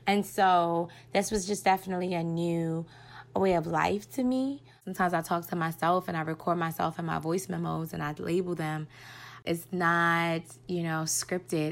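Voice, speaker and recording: A woman of thirty from Baltimore, sending a reflective, earnest voice note to a podcast. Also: fairly low pitch. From Baltimore, Maryland. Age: 30s. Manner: reflective, earnest, soft at times. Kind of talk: personal voice memo.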